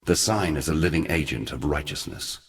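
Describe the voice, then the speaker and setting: Sin, a very deep, somber ancient god. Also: male voice, fairly bright tone, long reverb tail. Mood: solemn. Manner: solemn, deliberate, archaic and portentous phrasing. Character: Sin, ancient male god, somber, forgotten, weary protector of humanity. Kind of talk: scripted video game character dialogue.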